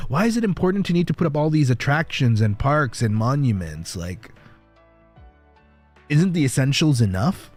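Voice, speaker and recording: A man streaming and chatting casually with his viewers, rambling, reassuring and quick to laugh. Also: medium pitch, darkish tone.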